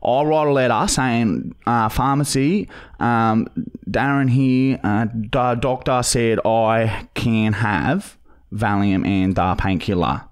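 A man playing a dodgy, pushy Australian bogan on a prank phone call, talking in halting, repetitive slang. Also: mid-range voice, clear, resonant tone. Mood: pleading, scheming. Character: dodgy, pushy young male bogan pestering for painkillers. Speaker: prank caller, podcast host. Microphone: close studio mic on boom arm.

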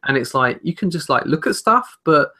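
A young man co-hosting a podcast, talking informally and haltingly about video games. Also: on the high side, big pitch swings, pushed and bright, husky. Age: young adult. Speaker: podcast co-host. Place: small room at home.

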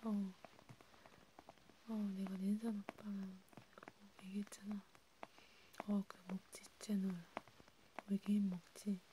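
A woman whispering in a breathy, hushed, intimate way, chatting casually as in an ASMR roleplay. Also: medium pitch, slow, long pauses. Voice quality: breathy, mostly unvoiced whisper. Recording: steady background noise.

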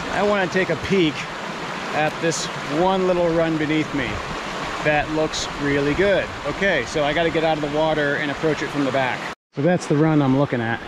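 A man narrating casually while hiking and fishing a mountain stream, thinking aloud with fillers and restarts. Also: on the high side. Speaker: fishing vlogger. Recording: outdoor recording with stream noise. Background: rushing stream water.

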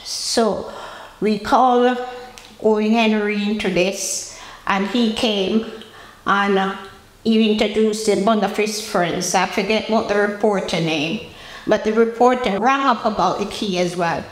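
A woman's voice: mid-range voice, a little slow. A woman in her eighties from Jamaica, with a Jamaican accent, recalling personal memories in a seated interview recorded in a roomy space. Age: 80s. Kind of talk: personal reminiscence to camera. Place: indoor room with bare walls, seated interview. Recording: roomy, echoing indoor interview.

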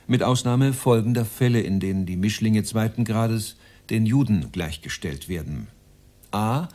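An actor playing a cold, officious Nazi official chairing a conference in a radio drama, with a formal, clipped, enumerating delivery. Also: male voice, fairly low pitch, mellow. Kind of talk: dramatized reading of a protocol.

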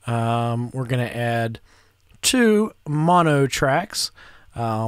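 A man in his thirties narrating a casual how-to in an unhurried, hesitant, step-by-step way, close to the microphone. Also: fairly high pitch, fairly bright tone, smooth, dry recording. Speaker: audio restorer presenting a how-to. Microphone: close microphone in front of him.

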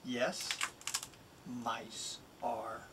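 A middle-aged man, a children's author, introducing and expressively reading a picture book in a deliberate, emphatic way. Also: mid-range voice, completely flat delivery. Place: small wood-panelled home office, indoors. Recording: distant mic, room noise.

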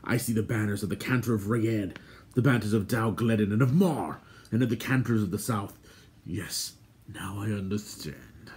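Man acting a noble, measured warrior lord. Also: on the low side, darkish tone, close to an everyday voice. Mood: urgent. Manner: formal, measured, commanding.

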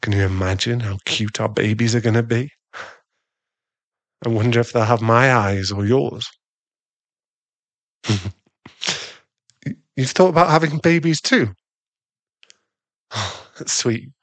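A man as a slow-talking, possessive werewolf husband. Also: medium pitch, no echo. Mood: tender, doting. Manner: slow, intimate, teasing, with sighs and stammers. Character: jealous, possessive, doting werewolf husband, playfully bratty. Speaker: ASMR boyfriend roleplay performer. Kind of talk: intimate ASMR audio roleplay.

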